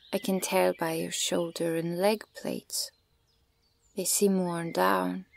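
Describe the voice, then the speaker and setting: A woman playing a stern, guarded, ancient elven archer in an ASMR fantasy roleplay, speaking in a measured, formal and breathy way with a creaky edge. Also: on the low side. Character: stern, guarded female elven archer, centuries old, scornful of humans.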